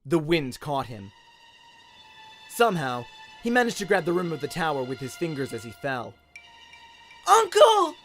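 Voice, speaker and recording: Animated Australian man narrating a story. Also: fairly high pitch, moderate pace, soft background music. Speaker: storyteller, voice actor. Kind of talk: dramatic scripted story narration, radio play.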